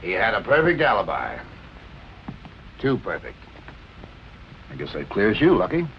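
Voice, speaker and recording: An actor playing a firm, probing police captain in colloquial, authoritative 1940s film noir style. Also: male voice, on the low side, wildly swooping pitch, measured pace, muffled recording, a little hiss or hum, exaggerated voice. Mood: easing, curious. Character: steady, probing police captain, grown man. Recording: vintage film soundtrack with music underneath.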